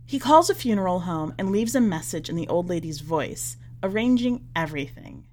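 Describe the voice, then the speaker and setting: A woman narrating literary fiction from a script, with measured, deliberate phrasing that falls away at the ends of lines. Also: fairly low pitch, animated, darkish tone, a little hiss or hum. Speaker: podcast editor reading a short story aloud.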